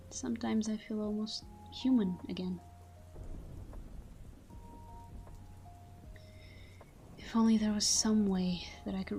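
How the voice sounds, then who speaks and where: Woman playing a soft, dark-toned, half-whispering vampire lover. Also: medium pitch, creaky, close to an everyday voice. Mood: tender, adoring. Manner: slow, hushed, wistful delivery. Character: tender, devoted, melancholy immortal vampire woman lover. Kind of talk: intimate ASMR sleep-aid roleplay monologue. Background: soft background music.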